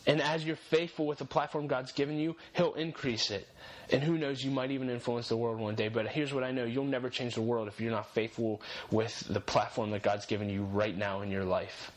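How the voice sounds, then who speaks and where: A man's voice: mid-range voice, flat delivery, fairly quick, few pauses, low-quality recording. Earnest young man giving faith-based encouragement. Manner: earnest, encouraging, repeats key phrases for emphasis. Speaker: podcast host.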